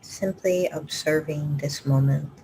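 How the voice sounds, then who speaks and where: A woman meditation teacher leading a live guided meditation, speaking slow, soft instructions that often drop to a whisper. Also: sits low, steady tone, a little hiss or hum, low-quality recording. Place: live group session.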